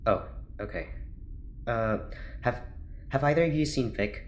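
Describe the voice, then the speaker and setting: A really deep-voiced anxious scientist lady, earnest, defiant, audio drama, some background ambience. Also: a little slow, a little hiss or hum. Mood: uneasy.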